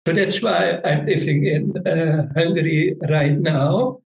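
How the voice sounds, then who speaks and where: Middle-aged Dutch man, teacher type, unhurried non-native English with a gravelly edge, recorded in a roomy space. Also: on the high side, darkish tone, muffled recording. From Netherlands. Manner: slow, halting non-native English with frequent restarts. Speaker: Vedic meditation teacher. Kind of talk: casual interview conversation.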